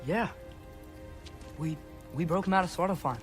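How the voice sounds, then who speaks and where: A man's voice: high voice, normal speed, loud music behind the voice, lightly acted. A young man playing an earnest, determined teenage boy who pleads his case in a cinematic video game. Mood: urgent, persuasive.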